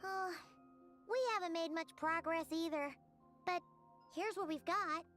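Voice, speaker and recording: A woman voicing a small, chirpy floating fairy companion in a video game, with an excitable, squeaky voice. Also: unhurried, faint music behind. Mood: curious. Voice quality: very high, squeaky and bouncy. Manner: excitable, calls out loudly.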